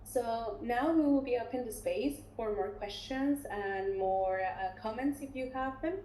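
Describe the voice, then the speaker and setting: Mellow-voiced woman hosting a webinar. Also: on the high side, unhurried. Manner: relaxed, chatty, many fillers and restarts. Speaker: international recruitment officer. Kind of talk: webinar presentation and Q&A. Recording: roomy webinar audio, faint noise.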